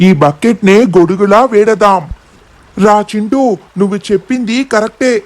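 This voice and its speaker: A performer voicing an excitable young boy who calls out in exclamations in an animated children's story. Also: medium pitch, moderate pace, lightly acted. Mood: alarmed, urging.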